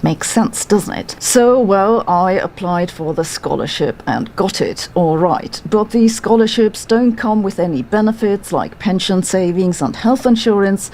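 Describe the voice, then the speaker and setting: A woman's voice: mid-range voice, expressive, unhurried, hardly any pauses, darkish tone, slight background noise, poor audio. A middle-aged woman with a German accent who reads a scripted personal story in a dry, sardonic, matter-of-fact way. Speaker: physicist telling her story. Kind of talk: scripted first-person narration.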